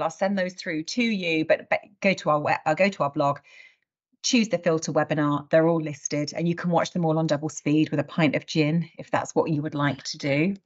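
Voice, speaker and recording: A middle-aged woman hosting a webinar in an informal, direct, chatty and wry way. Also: low-pitched. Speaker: webinar host. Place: indoors on a video call. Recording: dull video call audio.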